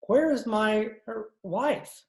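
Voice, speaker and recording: A man playing a courtly, tipsy old gentleman with florid, formal, halting speech, in old-time radio drama style. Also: high-pitched, vocal fry, exaggerated voice. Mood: uneasy. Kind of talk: 1940s radio drama acting. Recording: roomy, muffled-toned video-call echo.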